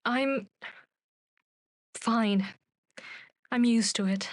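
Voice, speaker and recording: A woman's voice: a little high, drawn-out words, dry recording, studio quality. A woman playing a weary, guarded television director in a radio play, speaking in an understated, naturalistic way. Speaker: voice actor.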